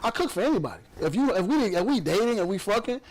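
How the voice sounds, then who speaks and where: A man's voice: high-pitched, very animated, fast, darkish tone. A young man from the south side of Chicago with a Chicago accent, hosting a podcast in loose, profane, boastful casual chat full of fillers. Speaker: podcast host.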